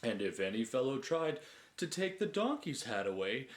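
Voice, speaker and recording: A man's voice: mid-range voice, very animated, moderate pace, lightly acted. Man acting a tedious, rambling old-school bore. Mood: trailing off. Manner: digressive, pedantic, droning on. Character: tedious, rambling male bore telling endless dull anecdotes. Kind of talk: comic verse monologue.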